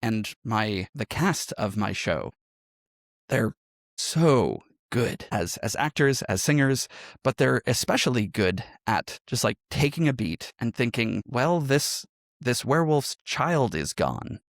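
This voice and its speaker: Male interview guest, mid-pitched, explanatory and conversational, very dry recording. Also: very animated, slow pace, no echo. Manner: explanatory, often checking with "right?". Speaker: podcast guest, audio drama creator.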